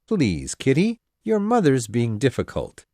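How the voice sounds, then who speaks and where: A woman voicing a calm, dryly teasing older gentleman and father, speaking in an unhurried, mildly mocking way. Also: very deep voice, no echo, clean studio sound, pitched below the performer's own voice, full character voice. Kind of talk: audiobook character voice.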